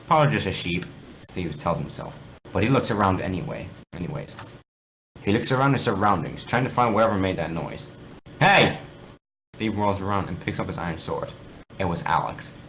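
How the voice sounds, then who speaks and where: A man with a fairly low voice reads a story aloud with theatrical, very animated intonation. He stumbles over words and corrects himself as he goes. There is clear noise on the amateur recording. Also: a little fast, low-quality recording. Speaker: reader of fan fiction. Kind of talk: amateur dramatic story reading.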